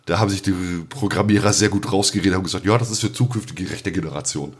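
A man with a fairly low voice talks casually as a podcast co-host. His speech is colloquial and full of slang, and he often doubles up words while he talks. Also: very fast.

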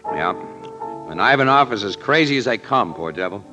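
An actor playing a wry, streetwise crime photographer in a 1940s radio drama, casual and slangy with an American accent. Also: male voice, on the low side, lively intonation, normal speed, pushed and bright. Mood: matter-of-fact. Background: music.

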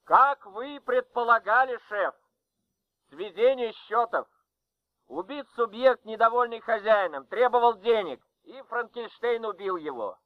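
Very high-pitched policeman on telephone. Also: lively intonation, measured pace. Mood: proud, excited. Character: police subordinate reporting proudly to his chief. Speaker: actor in a radio play. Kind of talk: Soviet radio drama acting. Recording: dull, band-limited telephone sound.

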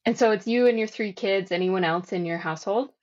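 A woman's voice: mid-range voice, fairly quick, a little mellow, lo-fi sound. Woman podcast co-host asking questions. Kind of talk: scripted podcast intro and interview questions.

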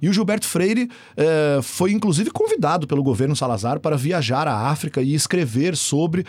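A man's voice: fairly high pitch, animated, moderate pace, darkish tone, dry recording, studio quality. A man. He is a researcher giving an interview, explaining things in a thoughtful, hesitant way with frequent restarts. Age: 40s. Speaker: researcher guest.

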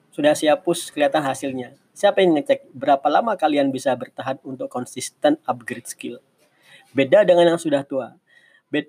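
A man's voice: mid-range voice, darkish tone. A man, giving casual advice in a conversational, explanatory way as an online mentor, often correcting himself and wandering off on asides. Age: 40s. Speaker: online mentor answering audience questions. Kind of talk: casual advice talk in a live session.

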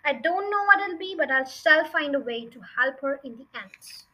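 An earnest young-hearted schoolteacher, voiced by a woman reading aloud in a children's storybook. Her voice is high and bright, respectful yet insistent, always pressing her case. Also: lively intonation, normal speed. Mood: quietly resolute. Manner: pleading, respectful, resolute.

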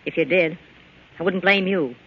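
An actress playing a hard, vengeful jilted club boss in a 1940s radio crime drama, curt and commanding. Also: female voice, on the low side, normal speed, husky. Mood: bitter. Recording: muffled, faintly noisy old radio recording.

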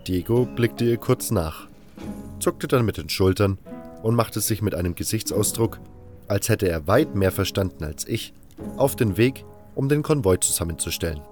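A man's voice: lowish voice, expressive, normal speed, darkish tone, soft background music. A man reading scripted first-person fantasy narration as an audiobook narrator.

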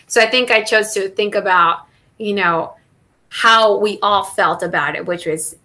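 A woman in her thirties from southern Mexico whose first language is Spanish, talking through an interview with a bright, clear tone. She thinks aloud, pausing on fillers and restarting her sentences. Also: medium pitch. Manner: thoughtful, many fillers and restarts. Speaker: guest, NASA engineer. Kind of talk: conversational interview answers.

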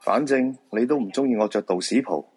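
A man's voice: medium pitch, brisk, a little mellow, husky, lightly acted. An actor playing a middle-aged feng shui master and proud father in a live radio drama, with a Hong Kong Cantonese accent. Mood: grumbling.

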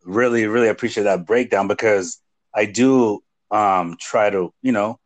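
Guy hosting a chat, lowish voice, lots of you knows, dry sound. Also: measured pace, no echo. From Nigeria. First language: Igbo. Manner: hesitant, filler-heavy, encouraging. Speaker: podcast host. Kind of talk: casual interview chat.

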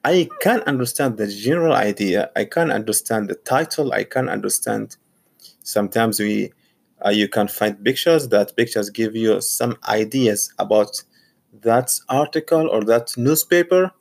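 A man from Saudi Arabia, a graduate student and teacher whose first language is Arabic, talking casually about learning English. He speaks haltingly and often repeats or restarts his phrases. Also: on the low side, vocal fry. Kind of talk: casual personal monologue.

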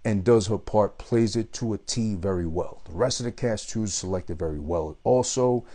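Casting: husky, deep-ish male voice, unusual timbre, rambling profane movie-review rant. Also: moderate pace, mellow. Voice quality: unusual voice that might put people off.

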